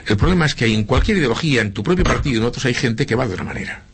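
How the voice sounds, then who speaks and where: Gravelly, husky Spanish man, earnest. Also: mid-range voice, animated, rapid-fire. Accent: Spanish, with a slight Argentine lilt. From Spain. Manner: earnest, reflective, with frequent hesitations and repeats. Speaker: local politician interviewee. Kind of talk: interview conversation.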